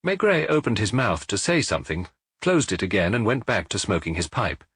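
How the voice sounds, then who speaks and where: Husky, expressive male audiobook narrator. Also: lowish voice, fairly quick, no echo. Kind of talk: scripted literary narration of a detective novel.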